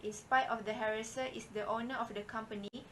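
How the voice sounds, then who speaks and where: A woman's voice: fairly high pitch, steady tone, brisk, a little hiss or hum, poor audio. A young woman with a Malaysian English accent steadily reading a prepared class presentation. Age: young adult. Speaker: student presenter.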